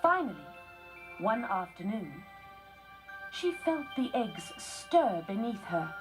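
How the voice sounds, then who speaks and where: A woman narrating a children's story from a script in measured phrases with short pauses. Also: a little high, big pitch swings, rough recording. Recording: music behind the voice. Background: music.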